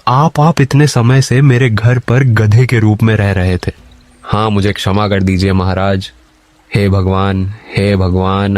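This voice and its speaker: A man voicing a humble, kind-hearted poor labourer in an animated moral story. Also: on the low side, lightly acted.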